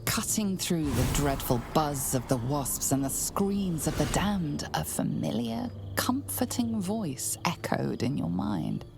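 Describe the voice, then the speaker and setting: An actress playing a solemn storyteller, narrating a dark fantasy video game in a measured, dramatic way. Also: female voice, low voice, big pitch swings, creaky, noisy background, full character voice. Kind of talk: scripted second-person game narration.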